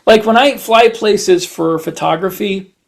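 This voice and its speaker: A man chatting casually as a podcast host, in a halting, rambling way with repeated words. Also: sits high, vocal fry. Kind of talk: casual chat.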